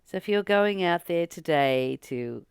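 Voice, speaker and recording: A woman giving a spiritual teaching, reading and commenting informally in an earnest, deliberate way, with frequent pauses and emphatic repetition. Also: lowish voice, animated, gravelly, smooth, dry recording, clean studio sound. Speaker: spiritual teacher and channel host.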